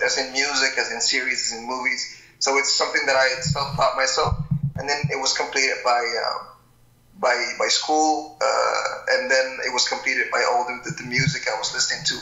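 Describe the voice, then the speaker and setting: Young male rapper, Arabic first language, halting chat, echoey room. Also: mid-range voice, sharp tone. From Morocco. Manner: halting, many repeated words. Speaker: rapper guest. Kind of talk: casual interview.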